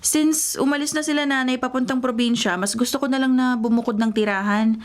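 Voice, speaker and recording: A woman's voice: high-pitched, fairly even intonation, brisk, lightly acted. An actress playing an exasperated young professional woman, polite to elders, in a radio drama with a Filipino accent. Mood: exasperated, firm. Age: young adult. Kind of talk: Tagalog radio drama acting.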